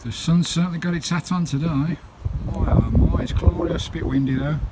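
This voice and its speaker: A man's voice: on the high side, fairly even intonation, normal speed. A British man narrating a casual hands-on vlog outdoors, chatting informally to his viewers. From United Kingdom. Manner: chatty, informal, often addressing viewers as guys. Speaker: vlogger. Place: outdoors on and around a campervan. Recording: windy handheld recording with loud background noise. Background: wind and loud noise.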